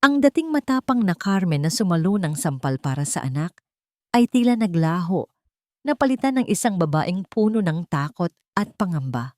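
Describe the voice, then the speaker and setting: Low-voiced woman narrating a dramatic story. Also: sing-song, normal speed, no echo, studio quality.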